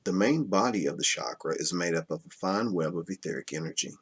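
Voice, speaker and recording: A man reading spiritual self-help nonfiction aloud in a measured, instructional way. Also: low voice, expressive, breathy edge. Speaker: reader of a self-help book.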